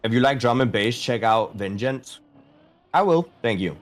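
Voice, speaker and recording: A man's voice: mid-range voice, lively intonation, moderate pace. A man from Denmark, a streamer, chatting casually with his viewers, answering their questions aloud and poking fun at himself.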